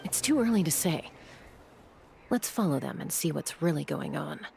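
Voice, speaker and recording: A woman playing a calm, resolute young female scout in a video game, speaking in a measured, decisive way. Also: deep voice, vocal fry, background noise, full character voice. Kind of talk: video game dialogue acting. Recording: game music under the voice. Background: game music.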